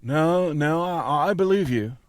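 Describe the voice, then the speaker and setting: A man playing an exasperated support agent in a comedy phone sketch, sarcastic and grudging, with halting delivery. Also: a little high, lively intonation, no echo.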